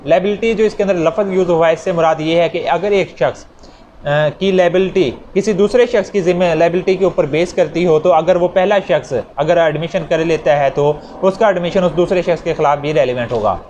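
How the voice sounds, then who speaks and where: A man's voice: a little high, a little mellow, dull audio. A man with a Pakistani accent giving an explanatory law lecture, repeating and rephrasing points with worked examples for students. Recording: noticeable background noise.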